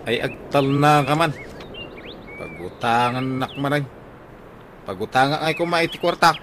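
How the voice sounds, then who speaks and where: Man acting mid-pitched, grumbling Ilocano farmer. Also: animated, a little slow, natural voice. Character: grumbling, practical farmer husband. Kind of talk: Ilocano radio comedy drama acting. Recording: faintly noisy radio drama recording.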